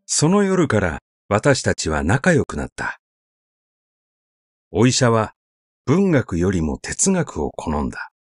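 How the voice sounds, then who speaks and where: Slow, expressive man reading a story. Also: lowish voice, dry recording, studio quality, faint music behind. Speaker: narrator, literary reader. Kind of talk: scripted literary story reading, conversational.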